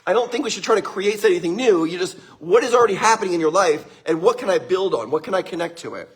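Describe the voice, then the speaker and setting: Upbeat middle-aged male motivational speaker, husky and fairly high for a man, live-stream feel. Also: expressive, quick pace. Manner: upbeat, greets viewers by name, laughs often.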